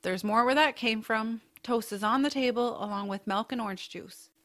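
A kindly, whimsical aunt acted by a woman in a family audio drama. Her voice is fairly high, and she speaks in a gentle, earnest storytelling way. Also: normal speed, natural voice. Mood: warm, encouraging. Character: kindly, whimsical aunt, bookish home cook.

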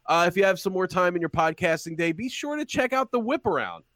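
A man's voice: sits high, sing-song, fast, a bit bright, dry recording, studio quality. A man hosting a sports podcast, wrapping up the show with jokey quips. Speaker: podcast host. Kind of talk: casual comedic sports chat.

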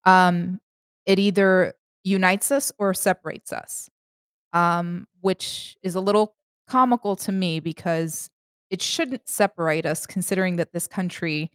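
A woman's voice: lowish voice, a little slow, smooth, dry recording, studio quality. A woman in an interview, speaking candidly with a few fillers. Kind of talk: casual conversational interview.

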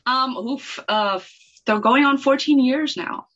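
A woman's voice: high-pitched, unhurried, fairly bright tone. A middle-aged woman from Germany who grew up abroad, chatting casually as a podcast guest on slightly lo-fi audio. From born in Germany, raised in Kenya and Thailand. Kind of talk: casual animated chat.

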